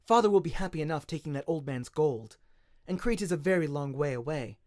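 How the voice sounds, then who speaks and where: A man voicing a polite, flattering young princess with a steely streak in an audio story. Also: fairly high pitch, fairly quick, dry recording, studio quality, lightly acted. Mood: concerned.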